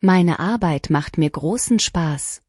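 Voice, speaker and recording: Woman, low voice, dry close sound, clear deliberate lesson reading. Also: no echo. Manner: clear, even, deliberate sentence-by-sentence delivery. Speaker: language lesson reader. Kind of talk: scripted shadowing practice reading.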